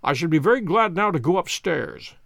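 Male reader doing a sharp gentleman detective, polite, probing, formal, lively pitch, audiobook. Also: fairly quick, no room sound, studio quality. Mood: brisk, composed. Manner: crisp, formal questioning. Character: keen, composed gentleman detective, courteous and probing.

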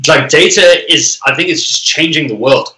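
Bright-voiced man musing on a podcast. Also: on the high side, normal speed. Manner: musing, filler-heavy "I mean", "like". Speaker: podcast host. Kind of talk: casual chat. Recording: echoey room.

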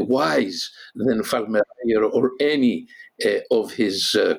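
A man giving an emphatic, animated account as a guest on a discussion program. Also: mid-range voice, vocal fry, husky. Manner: animated, emphatic, with frequent restarts. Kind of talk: discussion panel commentary.

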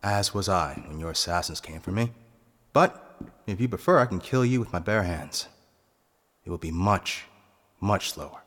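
A man playing a vengeful, cold nobleman in a fantasy audio drama, making deliberate, eloquent threats. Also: low voice, animated, a bit bright. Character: vengeful, menacing nobleman posing as castle phantom. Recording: long hall reverb.

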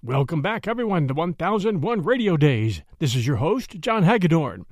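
A man's voice: a little high, very animated, normal speed, darkish tone, no room sound. A man hosting a podcast, reading a scripted introduction in a close, dry recording. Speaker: podcast host.

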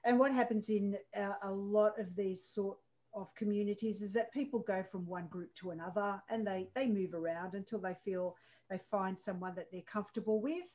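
A woman, a guest who organises paranormal tours, recounting events in a steady, measured way. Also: medium pitch, muffled recording. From Australia. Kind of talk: casual chat.

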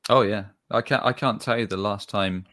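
A male interviewer with a very low voice keeps the conversation moving with short affirmations. He sums up the guest's points and asks brisk follow-up questions. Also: fairly bright tone. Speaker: podcast host.

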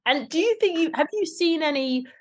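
A woman hosting a podcast interview, chatty and quick to laugh, asking long winding questions. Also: high voice, expressive. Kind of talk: casual interview conversation.

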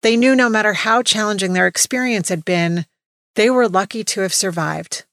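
A woman's voice: medium pitch, normal speed, fairly bright tone, no echo, clean studio sound. A woman hosting a podcast, reading a scripted introduction to personal stories.

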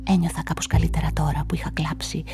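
An actress narrating a radio play in the first person as a nervous events manager, often dropping into confiding whispers. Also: female voice, sits low, little pitch movement, over music. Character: nervous, talkative female events manager caught up in a murder.

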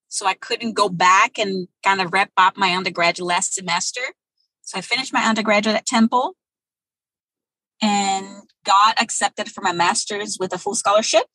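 Woman guest in her thirties, bright husky tone, dry close sound, measured answers with fillers. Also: medium pitch, no echo, rough recording. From Brazil. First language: Portuguese. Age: 30s. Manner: thoughtful, many um pauses. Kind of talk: interview answers.

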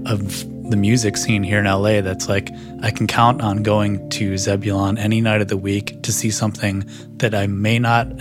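A man from Chicago, an artist and designer, talking at length in an interview with many fillers. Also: lowish voice. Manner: chatty, lots of fillers. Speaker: guest, artist. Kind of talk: interview talk.

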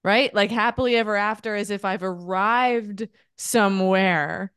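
A woman in her thirties co-hosting a podcast, earnest and animated, talking in long runs. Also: mid-range voice, measured pace, smooth, no echo, clean studio sound. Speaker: podcast co-host. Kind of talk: casual podcast chat.

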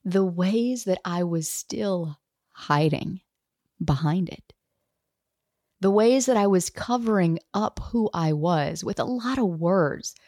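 A woman in her thirties hosting a podcast, telling a personal story in an animated way and acting out the people she quotes. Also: medium pitch, no echo, clean studio sound. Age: 30s. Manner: animated, acts out quoted dialogue. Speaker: podcast host.

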